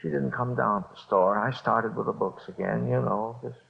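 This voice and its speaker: An actor playing a jumpy middle-aged small-time bookmaker confessing to police in halting, repetitive speech, in 1950s radio drama style. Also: male voice, mid-range voice, gravelly. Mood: weary, explaining. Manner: halting, repetitive, nervous hedging. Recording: dull old radio recording.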